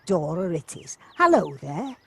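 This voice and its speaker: An actress playing a kindly, chatty village neighbour and housekeeper in an audio play, homely and helpful. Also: female voice, on the low side, big pitch swings, a little slow, gravelly, slightly rough, exaggerated voice. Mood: kindly, welcoming. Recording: home device recording, light noise, clicks.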